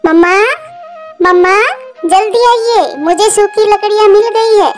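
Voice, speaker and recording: A woman's voice: extremely high pitch, unhurried, faint music behind, pitched above the performer's own voice. A woman voicing an eager, insistent little girl chick in a childlike cartoon manner. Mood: excited.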